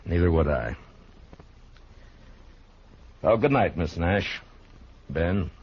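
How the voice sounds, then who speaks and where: Frontier marshal, deep voice, firm, plain-spoken, 1950s radio western, dull old recording. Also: male voice, lively intonation, slow, breathy edge, exaggerated voice. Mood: firm, cautioning. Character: steady, authoritative frontier marshal keeping the peace. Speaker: lead actor in a western radio drama.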